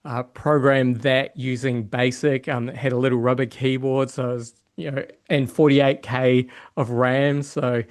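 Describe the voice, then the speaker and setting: Halting New Zealand man in casual chat. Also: medium pitch, little pitch movement. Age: middle-aged. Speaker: podcast guest, software developer and yoga teacher.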